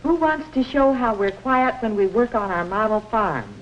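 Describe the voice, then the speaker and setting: A woman's voice: fairly high pitch, animated, moderate pace, highs cut off, close to an everyday voice. A woman playing a kindly, orderly primary school teacher in a 1950s educational film, patiently instructing young children. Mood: patient, instructive. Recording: noisy, band-limited 1950s film soundtrack.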